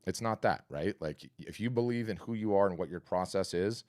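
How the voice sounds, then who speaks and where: A man hosting a live art business workshop and answering questions, talking in an energetic, conversational, salesy way with a scratchy, hoarse voice. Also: sits low, fairly quick. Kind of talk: live webinar talk and Q&A.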